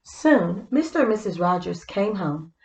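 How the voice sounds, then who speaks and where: Lady reading a picture book to kids, bit of fry in her voice, echoey room. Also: medium pitch, very animated, a little slow, a little hiss or hum. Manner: explanatory, addressing young listeners. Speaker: read-aloud storyteller. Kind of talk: scripted storybook narration with simple asides.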